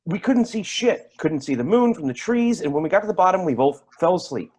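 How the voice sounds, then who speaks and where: An actor playing a rattled, defensive man giving halting, emphatic testimony in a dramatic staged reading. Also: sits high, animated, a little mellow. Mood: awed recollection. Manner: halting, emphatic, repeats himself. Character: rattled, defensive man testifying about an eerie deer encounter.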